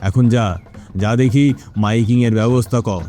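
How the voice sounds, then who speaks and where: A man playing a greedy, scheming, cruel village boss in an animated moral story, speaking in a smug, gloating, deliberate manner. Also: medium pitch, soft background music, lightly acted. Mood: menacing, gloating.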